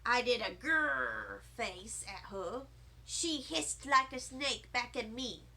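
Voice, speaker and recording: A woman giving an expressive, animated and deliberate narration of a children's audiobook. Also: on the high side, gravelly. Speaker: storybook reader.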